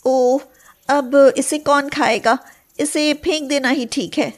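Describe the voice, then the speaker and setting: A woman voicing a poor, sweet young girl in an animated moral storybook, earnest and exclaiming. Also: high-pitched, slow, voice pushed up. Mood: resigned. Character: poor young sisters, sweet girls in wonder or worry.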